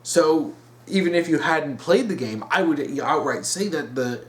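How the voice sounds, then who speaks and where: Higher-voiced man with animated pitch swings. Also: a little slow. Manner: emphatic, repeats words for stress. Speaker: video host giving opinions. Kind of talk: opinion commentary to viewers.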